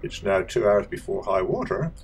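Guy narrating his sailing trip voice-over, low voice, steady and matter of fact, bit of faint hiss behind. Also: vocal fry. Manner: plain, measured, matter-of-fact. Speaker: amateur sailor narrating his cruise.

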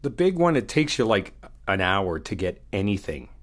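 A man playing an easygoing, flirty hotel guest in an audio roleplay, chatting casually and haltingly in one-sided phone talk. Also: fairly low pitch, very animated. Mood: casual, weary. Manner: casual, halting, slangy, swearing.

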